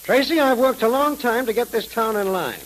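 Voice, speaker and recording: An actor playing a smug, scheming crime boss in 1930s radio drama style, sneering and sarcastic on a hissy old recording. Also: male voice, very high voice, expressive, brisk, fairly bright tone, low-quality recording. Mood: menacing.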